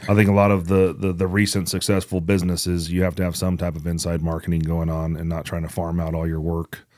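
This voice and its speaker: A man's voice: deep voice, level tone, fairly quick, darkish tone, dry recording, studio quality. A man hosting a podcast with casual chat and announcements, blunt and joking, with plenty of swearing. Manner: blunt, profane, joking banter. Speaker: podcast host.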